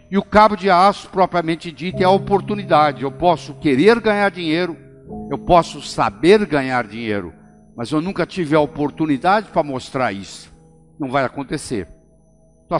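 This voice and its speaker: An older Brazilian man delivers a motivational talk, stressing key phrases by slowing down on them. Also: a little high. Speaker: motivational speaker. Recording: long hall reverb with faint music. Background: faint background music.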